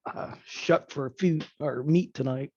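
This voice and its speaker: A man with a creaky, raspy, mid-pitched voice chats casually as a tabletop player. The recording is muffled and dry. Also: measured pace, a little mellow. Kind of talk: casual game-table chat.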